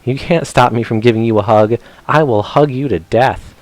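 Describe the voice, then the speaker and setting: A man's voice: mid-range voice, normal speed, dry recording, poor audio. A man doing casual, rambling gameplay commentary for a live stream, chatting playfully to his viewers as he plays. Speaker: game streamer.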